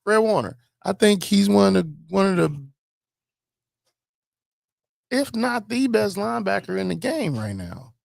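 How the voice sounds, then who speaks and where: Animated, gravelly American man talking football. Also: high-pitched, unhurried, pauses often, no echo, clean studio sound. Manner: emphatic, opinionated, repeats phrases for emphasis. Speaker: sports podcast co-host. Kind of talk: casual football banter.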